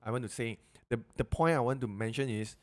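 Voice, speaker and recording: A man with a Malaysian Chinese English accent, co-hosting a podcast in casual chat, halting and repeating words, with colloquial particles. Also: medium pitch. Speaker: podcast co-host.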